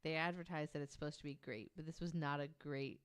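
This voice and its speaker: A woman's voice: low voice, steady tone, fast talker, smooth, no echo. A woman on a podcast, casually telling anecdotes in a chatty, informal way. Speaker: podcast panelist. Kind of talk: casual chat, anecdotal storytelling.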